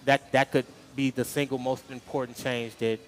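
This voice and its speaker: A man answering interview questions in a halting, slightly nervous way, filling pauses with ums, at an outdoor street festival. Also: mid-range voice, little pitch movement, soft background music. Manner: hesitant, halting, with many ums. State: a little nervous. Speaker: interview guest.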